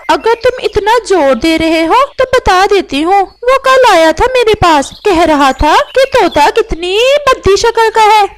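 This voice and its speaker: A woman's voice: very high voice, a little hiss or hum, full character voice. A woman voicing a sly, gossipy female crow with a falsely sweet manner in a children's cartoon story. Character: sly, scheming female crow stirring up quarrels. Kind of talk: animated children's moral story dialogue.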